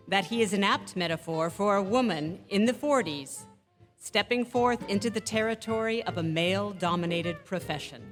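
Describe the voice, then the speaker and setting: A woman's voice: mid-range voice, a bit bright. Woman presenter, reverberant hall, music behind. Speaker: presenter and narrator of a stage tribute. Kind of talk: scripted introduction and narration. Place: on stage in a theatre. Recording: echoey theatre hall, music.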